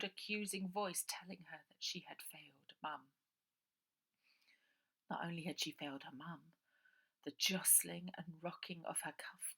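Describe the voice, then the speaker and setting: A woman narrating her own children's novel in an expressive, suspenseful way, often dropping to a breathy near-whisper. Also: fairly low pitch. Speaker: author reading own novel. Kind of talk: dramatic children's audiobook narration.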